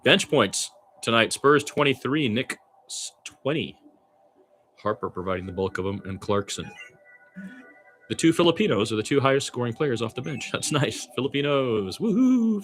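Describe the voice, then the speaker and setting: Man calling basketball play-by-play, mid-pitched voice. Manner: candid, colloquial, excitable on big plays. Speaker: play-by-play commentator. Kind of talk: basketball radio play-by-play commentary with casual partisan asides.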